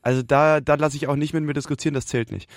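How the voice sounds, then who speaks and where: A man's voice: on the high side, steady tone, fast talker, no room sound, clean studio sound. Opinionated young man in slangy chat. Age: young adult. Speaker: podcast co-host. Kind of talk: casual chat.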